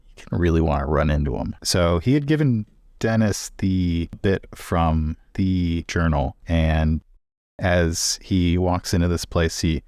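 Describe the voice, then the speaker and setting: Very deep-voiced man playing a tabletop game. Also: measured pace, darkish tone, no echo, clean studio sound. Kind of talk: casual narration of his character's actions.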